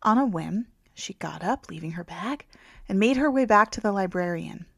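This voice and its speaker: Woman narrating fiction, creaky voice, dry sound. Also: mid-range voice, expressive, moderate pace, dry recording. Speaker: author reading own audiobook. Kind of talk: scripted narration, romance audiobook.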